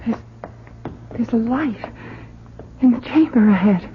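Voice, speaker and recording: An anxious, protective mother in vintage radio melodrama. Her voice is high and swooping, fretful and pleading, through a dull, faintly noisy broadcast sound. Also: lively intonation, slow pace. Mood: uneasy. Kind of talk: old-time radio drama acting.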